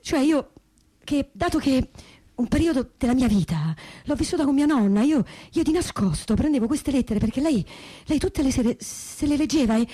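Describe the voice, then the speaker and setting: A woman playing a sorrowful granddaughter in a stage testimony reading, speaking haltingly and repeating words as she searches for them. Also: sits high, mellow. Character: sorrowful granddaughter of a deported family, haltingly remembering. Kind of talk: theatrical reading of personal testimony, conversational.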